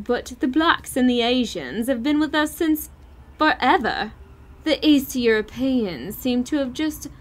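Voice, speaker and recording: Woman as snobbish wife, audio story, disdainful, imperious, very slow delivery, highish pitch. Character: snobbish, complaining, haughty middle-aged wife.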